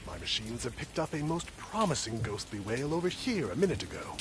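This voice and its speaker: Casting: male actor as fussy gadget-minded ghost hunter, mid pitch, lively swings, noisy rain behind. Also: brisk, lightly acted. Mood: irritated. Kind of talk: video game character acting.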